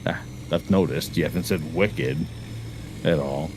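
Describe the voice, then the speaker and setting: A man's voice: very deep voice, measured pace. A man from the Boston area, chatting casually while gaming, filling his talk with "like" and explaining at length. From Boston area, USA. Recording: steady background noise.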